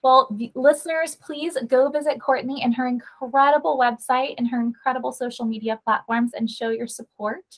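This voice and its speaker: A woman's voice: high-pitched, steady tone, moderate pace, few pauses, poor audio. A woman hosting a casual interview podcast, speaking in a gushing, affirming manner. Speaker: podcast host.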